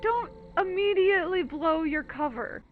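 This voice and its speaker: Playful, high-voiced woman gamer. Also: a little slow, phone-quality sound. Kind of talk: casual playthrough commentary. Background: game music.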